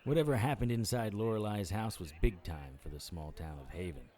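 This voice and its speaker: An actor playing a wry, world-weary immortal investigator in middle age, with a dry, dramatic delivery in an audio drama. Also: male voice, on the low side, a little fast, mellow, close to an everyday voice. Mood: tense, matter-of-fact. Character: wry world-weary immortal male investigator who looks about forty. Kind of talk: audio drama dialogue and first-person noir narration.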